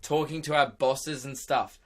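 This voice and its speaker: A young man with a hoarse, worn-out voice, rambling excitedly on his own podcast, laughing and swearing as he goes. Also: mid-range voice, unhurried. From Australia. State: hoarse, lost voice. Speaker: podcast host and radio presenter. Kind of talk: casual solo podcast chat.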